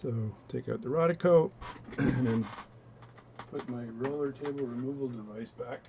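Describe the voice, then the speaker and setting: A man with a low voice talking through his watch repair at a home workbench, relaxed and rambling as he narrates each step. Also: moderate pace, highs cut off, noisy background. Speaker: watchmaking hobbyist demonstrating. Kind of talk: casual bench demonstration, talking while working.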